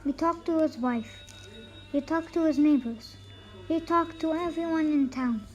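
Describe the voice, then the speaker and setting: A woman reading a children's folktale aloud, haltingly, stumbling over words and repeating them. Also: high-pitched, dull audio, faint music behind. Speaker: storybook reader.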